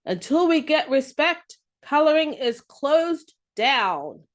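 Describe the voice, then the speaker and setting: A woman's voice: sits high, sing-song, takes it slowly, voice pushed up, full character voice. A woman voicing an indignant crayon leading a strike in a children's storybook, speaking in emphatic declarations. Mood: indignant, firm.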